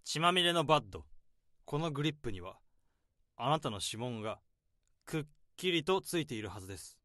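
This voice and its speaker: An overworked office worker who narrates and solves a case in a radio drama. He speaks in a reflective, measured way, and his voice rises and falls with feeling. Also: mid-range voice, sing-song, sharp tone, no echo, studio quality. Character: weary overworked male office worker who plays detective.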